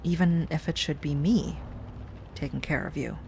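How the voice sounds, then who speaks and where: A woman playing an obsessive, sweetly pleading ex-girlfriend in an intimate audio roleplay, speaking softly and haltingly. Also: low voice, creaky, noisy background. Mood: gently insistent. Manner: soft, halting, wistful, with sighs and nervous little laughs. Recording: music under the voice.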